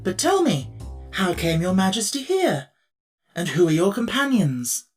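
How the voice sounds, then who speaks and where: A man's voice: high-pitched, huge pitch swings, unhurried, faint music behind, pitched above the performer's own voice, full character voice. A stately metal emperor with a lifted, ringing voice and polished, ceremonious phrasing, as a male audiobook reader puts him on. He speaks grandly and courteously, full of dignity. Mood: gracious. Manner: formal and gracious, exclamatory. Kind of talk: audiobook character voice.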